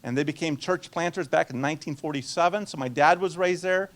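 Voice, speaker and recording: A man in his sixties, born in Guatemala and a native Spanish speaker, teaches a room of people about money. His voice sits a touch high for a man. He keeps it chatty, tossing questions at the crowd and slipping in wry jokes. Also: a little fast. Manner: chatty, quizzes the audience and drops dry jokes. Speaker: nonprofit finance presenter. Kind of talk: conversational seminar talk to a live audience.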